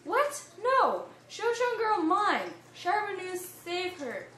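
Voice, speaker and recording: A woman's voice: extremely high pitch, sing-song, slow, fairly bright tone, pitched above the performer's own voice, full character voice. A young woman playing a blustering, possessive male frontier trader in an amateur puppet show, with emphatic declarations. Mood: indignant. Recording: echoey room with faint noise.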